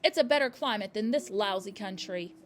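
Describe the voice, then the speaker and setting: A woman's voice: mid-range voice, animated, fairly quick, a little hiss or hum, lightly acted. A woman doing a snappish bullying father. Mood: brusque. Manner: barking, snappish, impatient. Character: gruff bullying middle-aged father. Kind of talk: storybook reading.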